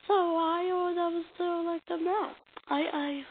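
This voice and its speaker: A woman acting out comic dialogue as an amateur dub, halting and stumbling over the lines. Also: very high-pitched, fairly even intonation. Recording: muffled lo-fi mic with hiss.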